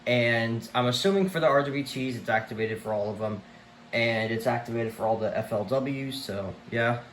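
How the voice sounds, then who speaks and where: A man giving a casual, unscripted show-and-tell, speaking haltingly and trailing off at the ends of his thoughts. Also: mid-range voice, a bit bright, roomy sound, rough recording. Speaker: collector presenting a home video tour. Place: room at home among many radios. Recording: clear background noise and room ambience. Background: weather radio broadcast playing.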